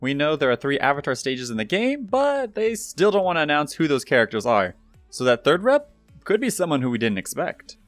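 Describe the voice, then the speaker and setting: A man's voice: on the high side, sing-song, a little fast, a bit bright, no room sound. A young adult man narrating gaming news in a scripted, upbeat presenter style, addressing viewers directly. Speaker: YouTube gaming news host. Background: background music.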